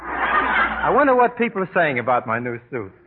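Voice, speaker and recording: A man's voice: fairly high pitch, big pitch swings, moderate pace, heavy background noise. A man playing a sly, wheedling, folksy boyfriend in a 1940s radio comedy sketch. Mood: sweet-talking. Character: sly, sweet-talking two-timing boyfriend in comic melodrama. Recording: tinny old radio recording over music.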